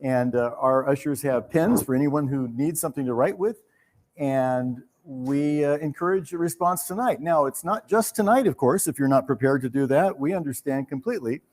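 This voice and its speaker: A man speaks as the host of a benefit evening, making an appeal to a live audience. His delivery is measured and halting, with a courteous, formal turn to his phrasing. His pitch sits in a middle range for a man. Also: darkish tone.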